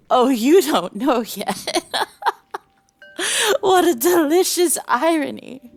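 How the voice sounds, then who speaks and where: A woman's voice: fairly low pitch, creaky, a little mellow, heavily acted. A woman playing a bitter, mocking imprisoned dragon soul in a dramatic audio roleplay, with a theatrical, taunting delivery. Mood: mocking amusement.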